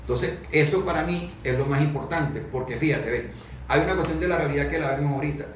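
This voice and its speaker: A Venezuelan man in a political discussion, speaking haltingly and often repeating words as he works toward his point. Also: on the high side. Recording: very echoey room, distant mic, faint noise.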